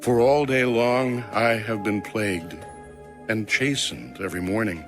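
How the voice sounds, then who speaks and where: A man giving a solemn, measured and dramatic scripted reading of scripture, like an audiobook. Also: lowish voice. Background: music.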